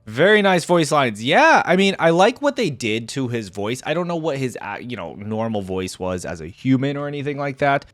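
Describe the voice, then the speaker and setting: A man hosting a reaction video, giving informal, off-the-cuff commentary in a halting, reflective way. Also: medium pitch, no echo.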